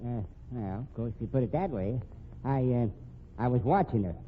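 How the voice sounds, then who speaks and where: A man's voice: medium pitch, animated, moderate pace, lightly acted. Man doing an old american night watchman, folksy n hedging, repeats himself, noisy tinny radio sound. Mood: evasive. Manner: rustic, repeats his own phrases, hedging. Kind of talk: 1940s radio drama character acting.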